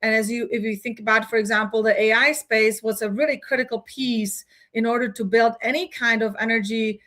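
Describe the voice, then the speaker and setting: A woman's voice: a little high, fairly even intonation, a little fast, fairly bright tone. A woman in her forties, from the Czech Republic, answering as a guest CEO in a business interview, thoughtful, with frequent fillers and restarts.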